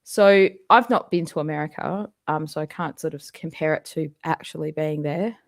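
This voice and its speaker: Chatty Western Australian woman, lowish voice. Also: normal speed, gravelly. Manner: chatty and rambling, laughs often, many ums. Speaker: musician hosting a live fan Q&A. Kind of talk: casual live-stream chat. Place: home, indoors. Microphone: desktop microphone at a computer.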